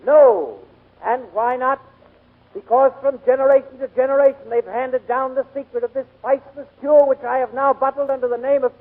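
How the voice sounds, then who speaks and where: An actor playing a pompous, blustery medicine-show colonel with a husky voice, delivered as a theatrical barker in 1940s radio style. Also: male voice, extremely high pitch. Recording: muffled lo-fi 1940s radio broadcast.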